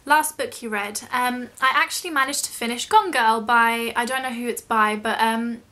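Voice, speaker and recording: A woman's voice: a little high, moderate pace, pushed and bright. A young British woman chatting casually in a question-and-answer vlog, rambling a little and self-deprecating. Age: young adult. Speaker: YouTube vlogger.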